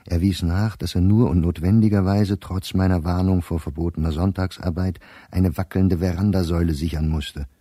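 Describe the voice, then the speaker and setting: A middle-aged professor worn down and bitter, reading his diary in a radio play. His voice is very low and mellow, set close and dry. He speaks in exact, clipped entries. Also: fairly even intonation, normal speed, hardly any pauses, dry recording. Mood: troubled, dry. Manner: precise, clipped telegraphic diary phrasing.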